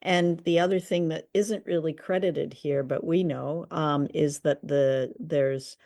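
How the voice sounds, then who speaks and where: A woman hosting a conversational commentary show, talking in a halting, appreciative way. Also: low-pitched, fairly even intonation, gravelly, mellow, no room sound, studio quality. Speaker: host and commentator.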